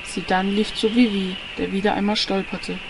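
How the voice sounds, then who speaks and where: A woman's voice: medium pitch, level tone, moderate pace, creaky, noisy background. A woman reading scripted narration for an audio drama.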